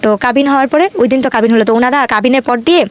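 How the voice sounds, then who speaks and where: A woman's voice: a little high, very fast. A young woman with a Bangladeshi accent, telling her own life story conversationally in detail, often quoting dialogue. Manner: detailed retelling with frequent quoted dialogue.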